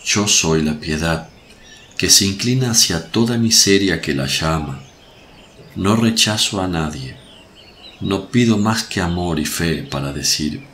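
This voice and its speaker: An actor playing a solemn, compassionate preacher, speaking in a measured, earnest way in a radio drama. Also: male voice, low-pitched, expressive, fairly bright tone, slight background noise.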